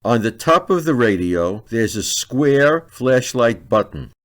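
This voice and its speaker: A man narrates a product review at a markedly slow pace. His pitch sits in the middle range, and he goes through each point methodically, like a scripted set of instructions. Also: very animated. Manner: methodical, step-by-step delivery.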